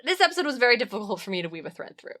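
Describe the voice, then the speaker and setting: A woman's voice: a little high, very fast. A woman co-hosting a podcast, chatting casually and jokily about mythology and pop culture, swearing now and then. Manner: animated, jokey, swears casually. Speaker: podcast co-host.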